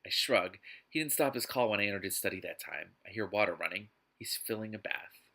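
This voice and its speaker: A man's voice: lowish voice, expressive, fairly quick, sharp tone. A man reading a book aloud and breaking in with emphatic, exasperated commentary. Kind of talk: scripted reading broken by indignant commentary.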